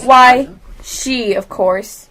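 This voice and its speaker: A woman's voice: fairly high pitch, extremely slow, crowd noise, low-quality recording. A female performer playing a bossy, ceremonious storybook creature in an amateur radio play. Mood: pondering, decisive.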